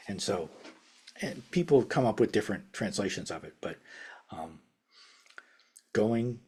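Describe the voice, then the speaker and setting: An older man teaching casually over a video call from his home study. His talk rambles, with restarts, chuckles and phrases that trail off softly. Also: low voice, breathy edge. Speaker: meditation teacher. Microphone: video call webcam.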